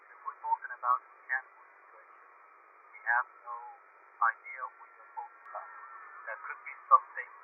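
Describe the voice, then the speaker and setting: A man's voice: mid-range voice, fairly even intonation, brisk. Man playing male police detective, dismissive, official, distant tinny phone call, faint line noise. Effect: telephone filter. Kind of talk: phone interview in radio drama.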